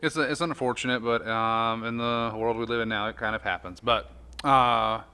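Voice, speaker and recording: A man with an American accent and a bright, forward voice. He speaks as a podcast host in a conversational style, at a middling pitch. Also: fairly even intonation, normal speed, clean tone.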